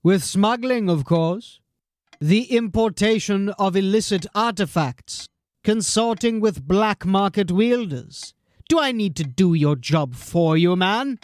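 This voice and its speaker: An actor playing a haughty, pompous captain in an audio drama who talks in a lecturing way. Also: male voice, sits high, lively intonation, slow pace, darkish tone, dry recording. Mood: impatient. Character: haughty, pompous captain, middle-aged man.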